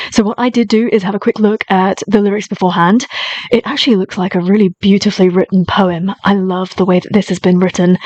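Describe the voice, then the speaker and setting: A woman's voice: mid-range voice, darkish tone, low-quality recording. A woman hosting her own reaction channel, talking in a chatty, eager and often apologetic way. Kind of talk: casual reaction commentary.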